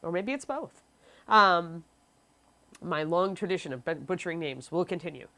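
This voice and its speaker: A woman's voice: lowish voice, normal speed, bright, forceful tone. Sniffly woman teaching miniature painting. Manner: chatty, reads chat questions aloud, frequent ums. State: sniffly from allergies. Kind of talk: live-streamed painting tutorial.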